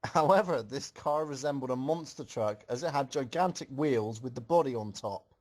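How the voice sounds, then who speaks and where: A man's voice: mid-range voice, expressive, normal speed. A man reading a fan story aloud in narration, with casual comments of his own.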